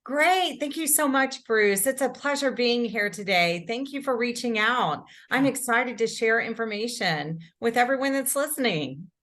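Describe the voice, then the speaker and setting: A woman's voice: on the high side, expressive, moderate pace, hardly any pauses, a bit bright. A woman from Houston speaking as an interview guest, upbeat and conversational, often repeating her phrases. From Houston, Texas. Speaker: guest, company director.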